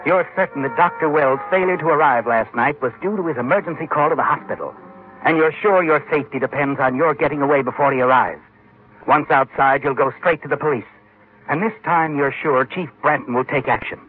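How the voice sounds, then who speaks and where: A man giving measured, suspenseful narration in a vintage radio drama style. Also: a little high, a little hiss or hum. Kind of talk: dramatic second-person 1940s-50s radio narration. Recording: tinny old radio recording with faint music.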